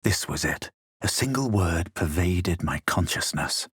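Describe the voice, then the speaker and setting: A man playing a self-assured, aloof adventurer hero in a video game, speaking with measured, dramatic delivery. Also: low-pitched, expressive, fairly bright tone, breathy edge, exaggerated voice. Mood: grave, narrating. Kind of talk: video game voice lines, scripted narration and barks.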